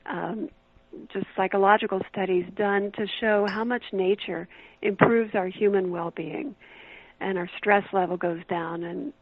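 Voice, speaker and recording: A woman's voice: mid-range voice, fairly even intonation, measured pace, dry recording. Woman guest calling in, upbeat and explaining stuff, tinny phone sound, dry. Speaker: author and guest. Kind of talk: interview answers.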